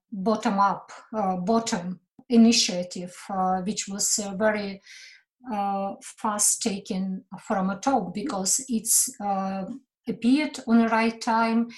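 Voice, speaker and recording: Slow, halting woman with a tongue. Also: medium pitch, darkish tone, low-quality recording. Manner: slow, halting, many fillers. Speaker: panelist. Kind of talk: webinar panel discussion.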